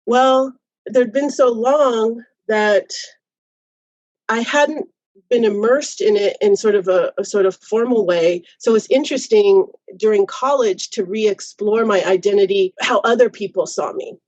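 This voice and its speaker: A reflective woman in her fifties, a professor, who works through her thoughts aloud with restarts and many "you know"s. Her voice sits at a middle pitch and sounds close and dry, with a slightly dull, lo-fi tone. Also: measured pace, a little mellow, no echo. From United States. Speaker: college professor, podcast guest. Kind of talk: reflective personal storytelling.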